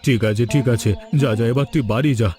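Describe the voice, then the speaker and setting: A man's voice: medium pitch, fast talker, music in the background, lightly acted. A man voicing a stern but generous village landlord and father in a cartoon folk tale. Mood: grateful, generous.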